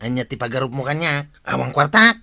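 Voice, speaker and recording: An actor playing a nosy, insistent village sidekick in Ilocano radio comedy, questioning and exclamatory with a Filipino accent. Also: male voice, mid-range voice, sing-song, a little fast, vocal fry, pushed and bright, dry recording, clean studio sound, full character voice. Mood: curious, insistent.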